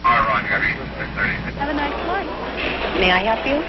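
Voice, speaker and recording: An actress playing a courteous airline reservation clerk in a scripted television commercial. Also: female voice, mid-range voice, huge pitch swings, drawn-out words, heavily acted. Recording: muffled, very noisy 1960s TV audio.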